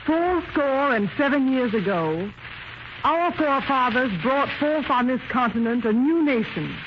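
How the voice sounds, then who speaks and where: A woman reciting formally in a measured, declamatory manner on 1930s radio. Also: high voice, lively intonation. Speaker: actress demonstrating microphones. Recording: noisy muffled 1930s radio recording.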